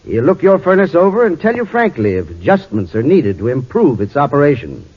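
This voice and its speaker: A man's voice: a little high, wildly swooping pitch, a little fast, slightly rough. A man reading a scripted 1940s radio advertisement in a folksy, courteous, persuasive way as an expert spokesman. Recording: dull 1940s radio recording.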